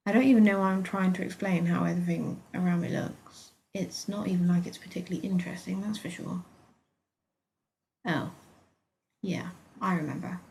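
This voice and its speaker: A woman's voice: medium pitch, darkish tone, lightly acted. Woman acting a petulant, sarcastic British young patient. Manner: sarcastic, exasperated, huffy. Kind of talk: first-person dramatic fan fiction reading.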